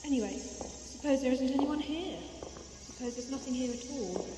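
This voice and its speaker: A woman's voice: high voice, normal speed, noisy background, close to an everyday voice. A woman playing a plucky, sceptical young space-travelling companion in an audio drama, with a teasing, questioning manner. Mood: uneasy, dry. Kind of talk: 1980s amateur audio drama acting. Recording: loud music behind voice.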